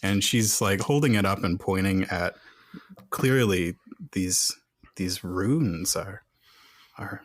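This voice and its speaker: A man's voice: sits low, slow, pauses often. A man playing a tabletop roleplaying game, chatting casually and narrating his character's moves.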